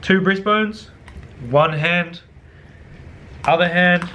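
A young man with a New Zealand accent, talking casually through a cooking tutorial in his home kitchen, slangy and swearing offhand, often dropping to low mutters. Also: slow, sharp tone, a little hiss or hum. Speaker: cooking vlogger.

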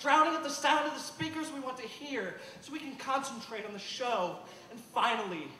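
A man delivers slam poetry in a high, projected voice with emphatic declamation. He is recorded at some distance in an echoey live venue, with clear noise behind him. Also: moderate pace, pushed and bright. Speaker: slam poet.

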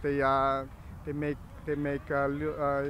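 Flat-toned halting man, noisy outdoor field. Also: medium pitch. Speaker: rugby player. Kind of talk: casual interview. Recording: clear outdoor field noise.

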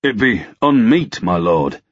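A man's voice: fairly low pitch, very animated, a little slow, lo-fi sound, voice pushed up. A man voicing an earnest, proud medieval knight, deferential and alarmed, in archaic audiobook dialogue. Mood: uneasy, deferential. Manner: humble, archaic, pleading formality.

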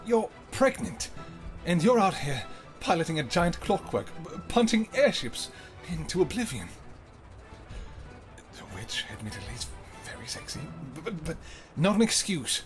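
A man voicing an ancient, protective vampire king in an audio roleplay, who shouts urgent commands and then turns to low, tender murmuring. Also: big pitch swings, a little slow, over music. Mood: scolding. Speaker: voice actor.

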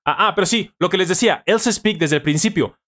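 A Mexican man in his thirties with a slightly high voice talks casually as a live-stream host. He is informal and conversational, often doubling phrases for emphasis, and speaks close into a studio mic in a small home room. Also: a little fast, a bit bright. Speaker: live-stream host, language-learning tutor. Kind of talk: casual live chat answering viewer questions. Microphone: studio microphone on boom arm, close.

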